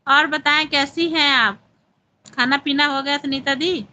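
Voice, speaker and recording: Bright high-voiced woman live-chatting. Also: fairly even intonation, unhurried. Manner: chatty, greets viewers and reads out comments. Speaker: live stream host. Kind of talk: casual live stream chat with viewers.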